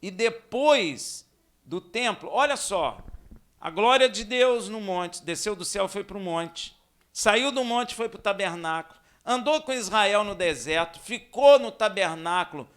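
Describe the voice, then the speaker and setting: A man from Minas Gerais with a Brazilian accent, preaching and teaching scripture in a conversational way, with rhetorical questions, dramatic emphasis and hushed asides. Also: high-pitched, expressive, measured pace. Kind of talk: expository sermon with scripture reading.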